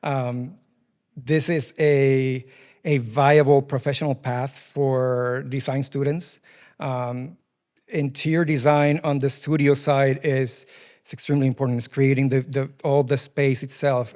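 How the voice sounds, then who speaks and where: A man's voice: medium pitch, darkish tone. A man in his forties with a Puerto Rican Spanish accent, Spanish his first language, answering interview questions thoughtfully with many ums. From Puerto Rico. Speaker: guest, furniture dealership president.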